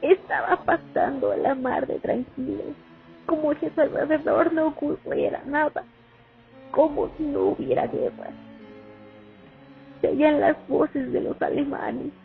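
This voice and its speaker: A woman's voice: high-pitched, steady tone, unhurried, vocal fry, faint music behind. Woman acting haunted female sniper, phone-like sound. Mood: weary, reflective. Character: young female Soviet sniper, haunted war veteran remembering. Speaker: actor in a student radio drama. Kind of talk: dramatic first-person monologue, radio play. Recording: muffled phone-like recording.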